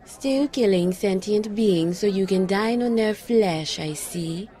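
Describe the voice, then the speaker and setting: A woman playing a petite, sharp-tongued old flame in a supernatural noir radio drama. Her voice is mid-pitched, earnest and pointed, with care under the barbs. A faint crowd murmurs behind her. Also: slow pace, close to an everyday voice. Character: tiny, sharp-tongued, caring female old flame, a pixie. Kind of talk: noir audio drama dialogue.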